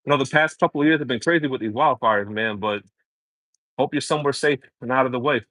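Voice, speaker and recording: A man's voice: medium pitch, fast talker, sharp tone, dry recording, rough recording. A man from Brooklyn, chatting casually with viewers while cooking on a livestream. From New York City, Brooklyn. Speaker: home cook livestreaming.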